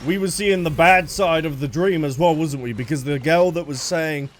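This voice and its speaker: A man's voice: on the high side, moderate pace. A man giving casual reaction commentary as he plays a video game, thinking aloud about the story. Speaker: game streamer. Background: game music.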